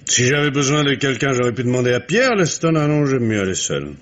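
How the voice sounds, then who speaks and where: A wry police commissioner. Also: male voice, mid-range voice, fast talker. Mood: gently teasing. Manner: dry, ironic, calm authority. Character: wry male police commissioner, middle-aged. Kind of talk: 1950s French radio drama acting. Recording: muffled old radio recording.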